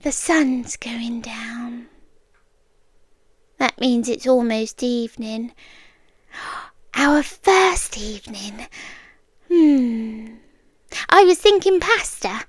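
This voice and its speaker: Woman acting as obsessive British yandere girlfriend, ASMR roleplay, high voice, unhurried, intimate, often whispery, calmly possessive. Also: slightly rough, no echo, clean studio sound, exaggerated voice. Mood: devoted, intense.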